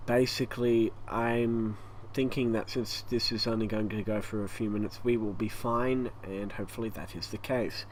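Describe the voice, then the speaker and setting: A man with an Australian accent and a croaky, hoarse voice, rambling through a casual channel update at home, stopping often for breath. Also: on the low side, steady tone, normal speed, few pauses, poor audio. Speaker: YouTube gaming channel host. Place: hot home room with a fan running. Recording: faint fan noise behind.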